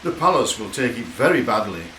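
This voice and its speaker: A man's voice: medium pitch, very animated, brisk, pushed and bright. An actor playing a fussy, solemn male royal aide in a radio play. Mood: stern warning. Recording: echoey with faint hiss.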